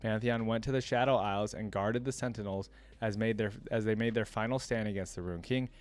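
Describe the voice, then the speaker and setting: A man's voice: on the low side, fairly even intonation, a little fast. A man giving casual reaction commentary as a host, reading text aloud and adding conversational off-the-cuff remarks.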